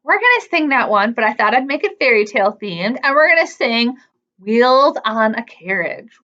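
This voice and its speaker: A woman's voice: on the high side, very animated, moderate pace, pushed and bright, dull audio. A woman hosting a preschool storytime, speaking expressively in simple child-directed phrases. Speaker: children's librarian, storytime host. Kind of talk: animated read-aloud storytime for preschoolers.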